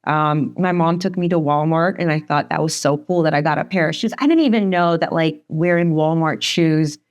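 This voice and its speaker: A woman in her forties with a slight Northeastern American accent talks fast and candidly, her voice sitting a little low. She laughs easily and keeps the conversation rolling. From Sweden. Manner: fast, chatty, laughs often. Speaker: guest, divorce attorney.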